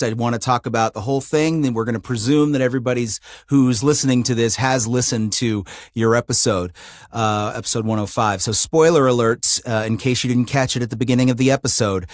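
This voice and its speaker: A man's voice: mid-range voice, dry recording. Man, podcast host, mid-range voice, conversational interview questioning, explanatory, dry close sound. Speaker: podcast host and show creator.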